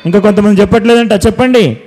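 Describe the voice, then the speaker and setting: A man's voice: sits high, quick pace, background noise. A man leading a children's Bible school, teaching and praying in simple, instructive phrases. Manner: instructing, repeats simple commands and questions. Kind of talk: preaching to children and leading prayer.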